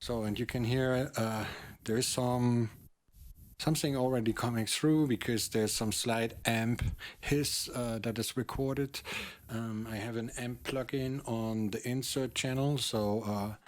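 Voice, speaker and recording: A man demoing a synthesizer in a casual tutorial, talking hesitantly with many pauses and fillers. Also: steady tone. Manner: hesitant, many ums and uhs. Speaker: music tutorial host. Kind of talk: casual hands-on demonstration. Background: loud synthesizer and bass sounds.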